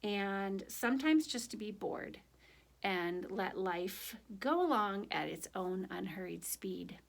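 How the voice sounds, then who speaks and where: A woman reading a picture book aloud and chatting to children, speaking in an expressive, gentle and direct way. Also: medium pitch, slow, creaky. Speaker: children's story reader for a church. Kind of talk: picture book read aloud, then informal reflection to children.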